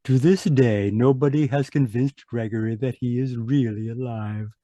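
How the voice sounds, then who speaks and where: A man's voice: mid-range voice, steady tone, normal speed, mellow, no room sound, lightly acted. A man acting a mysterious traveler who narrates eerie tales in old-time radio mystery style, chatty and insinuating. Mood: sly, storytelling.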